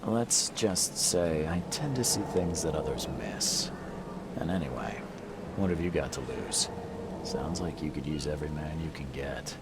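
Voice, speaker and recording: A wry private detective from a video game. His voice is extremely deep, composed and dry as he probes for answers. Heavy ambient noise surrounds him. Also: animated, measured pace, heavily acted. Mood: wry, confident. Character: calm, wry male private detective, a newcomer. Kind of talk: dramatic video game voice acting.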